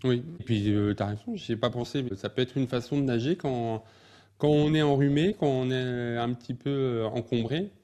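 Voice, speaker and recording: Male podcast host with a mellow voice, encouraging, sums up and restates his guest's points. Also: medium pitch, normal speed. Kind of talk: casual interview talk.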